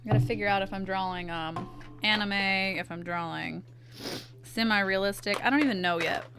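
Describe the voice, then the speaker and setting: A woman with a stuffy nose, chatting casually with her viewers in quick asides while she draws on stream. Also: mid-range voice, music in the background. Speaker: art streamer. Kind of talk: casual chat while drawing.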